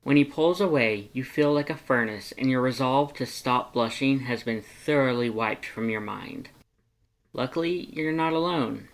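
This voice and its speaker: A narrator reading fan fiction aloud in an expressive storytelling style. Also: medium pitch, moderate pace, poor audio.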